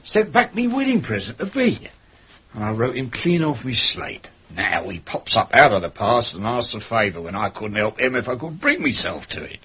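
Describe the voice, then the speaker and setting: Grumpy Cockney manservant, radio drama: husky, blunt, cheeky, very animated intonation. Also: male voice, medium pitch, normal speed, highs cut off, heavily acted. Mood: indignant, grumbling. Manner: grumbling, colloquial, blunt.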